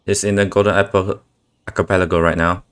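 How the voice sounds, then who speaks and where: A woman's voice: a bass voice, level tone, quick pace. A woman streaming a game, giving casual, offhand short reactions with some swearing. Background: game music.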